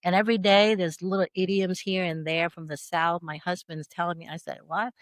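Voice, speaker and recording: A middle-aged woman from Vietnam with a Vietnamese accent, telling her story as a guest in a casual interview, animated and laughing through anecdotes. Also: on the low side, fairly quick, no echo. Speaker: podcast guest.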